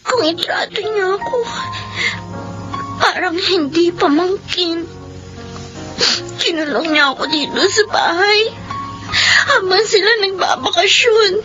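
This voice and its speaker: A woman's voice: very high-pitched, takes it slowly, long pauses. A woman playing a mistreated, earnest young niece in a melodramatic Filipino radio drama. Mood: tearful, bitter. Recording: dull lo-fi radio, music behind.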